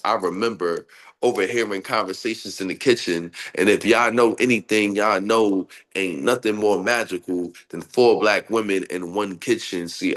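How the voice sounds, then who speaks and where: A man with a stuffy, nasal voice from a cold, talking casually in an interview and then performing spoken-word poetry with rhythmic delivery. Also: sits low. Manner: expressive, laughs often, rhythmic poetic delivery. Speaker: poet guest.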